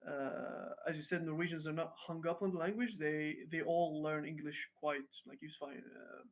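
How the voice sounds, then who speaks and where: A man from Palestine answering interview questions as an activist, speaking haltingly with frequent fillers. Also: a little high, steady tone. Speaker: guest activist.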